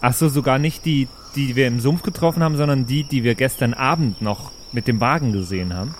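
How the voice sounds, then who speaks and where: A man's voice: mid-range voice, a little fast, a little hiss or hum. A man playing a tabletop role-playing game, chatting casually as he improvises what he does.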